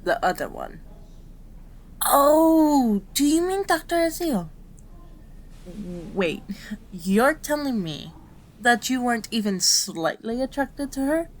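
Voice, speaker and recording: A woman's voice: on the high side, lively intonation, measured pace. A woman playing a cute, caring fox-spirit doctor in an ASMR roleplay, prim, chiding and easily flustered. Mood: startled, soothing.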